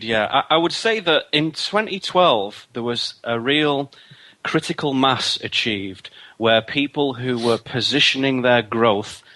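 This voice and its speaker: Bright-voiced thoughtful male guest. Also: medium pitch. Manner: measured, thoughtful, repeats words while searching. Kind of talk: reflective spiritual interview talk.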